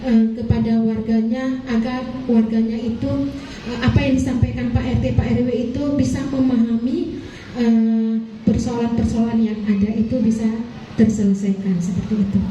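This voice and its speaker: A woman with a high, mellow voice gives a formal address at a training session for community leaders, hesitating often and apologizing politely. She is heard from a distance in a very echoey meeting hall with faint noise behind her. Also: flat delivery, normal speed, muffled recording. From Aceh.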